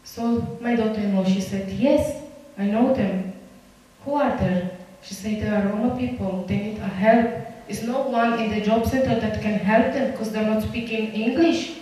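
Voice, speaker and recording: A woman whose first language is Romani shares personal stories with a group, earnest and halting, stopping often to find her words. She is heard from a distance in a very echoey room, with faint ambient sound behind her. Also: mid-range voice, dark tone. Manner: earnest, halting English with many fillers and restarts. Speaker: community speaker.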